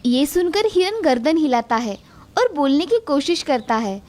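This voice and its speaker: A woman narrating an animated children's story in a steady, clear storytelling manner. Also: high-pitched, normal speed.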